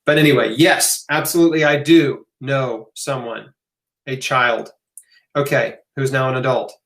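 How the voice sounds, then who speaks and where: A man's voice: medium pitch, fairly even intonation, unhurried, vocal fry, fairly bright tone. A man hosting a casual live Q&A, answering questions off the cuff in a conversational way with emphatic asides. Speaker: host of a live health Q&A. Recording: roomy, echoing room sound.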